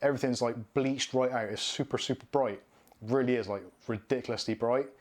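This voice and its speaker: A man's voice: medium pitch, fairly even intonation, fairly quick. A man in his thirties from southwest England with a Bristolian accent, hosting a casual live Q&A and answering viewer comments. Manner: chatty, reads out viewer comments and answers them. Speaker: live stream host. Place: home studio room with shelves and neon signs.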